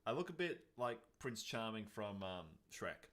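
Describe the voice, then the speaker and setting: A man co-hosting a podcast, chatting casually in an animated, jokey way. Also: lowish voice, measured pace. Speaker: podcast co-host. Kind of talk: casual chat.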